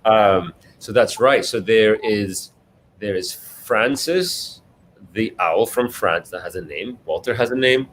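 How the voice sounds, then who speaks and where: A low-pitched man from Scotland speaks as an author in a live question session. He is agreeable and feels his way through answers thoughtfully. There is clear background noise on the recording. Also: slow pace, fairly bright tone. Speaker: children's book author, guest.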